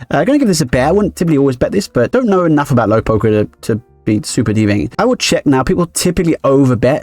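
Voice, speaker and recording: A man talks through his poker hands at a brisk, rattling pace, reasoning out loud as he plays. His voice sits at an ordinary middle pitch and sounds close and dry, with faint music underneath. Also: dry recording. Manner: quick, analytical thinking aloud. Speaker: poker streamer. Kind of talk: live-streamed poker play and commentary.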